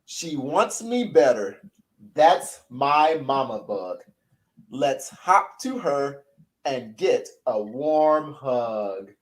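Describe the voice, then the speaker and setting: A man voicing a sick, stuffy-nosed little horsefly who is gentle and sweet, reading a children's picture book aloud in a hoarse, sniffly voice. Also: mid-range voice, big pitch swings, extremely slow. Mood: singsong.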